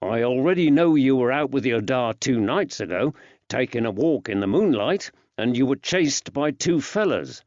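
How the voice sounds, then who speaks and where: A man voicing a kindly character who gently coaxes answers from a child, with old-fashioned working-class phrasing. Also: mid-range voice, lively intonation, moderate pace, dry recording, highs cut off, natural voice. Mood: gently coaxing.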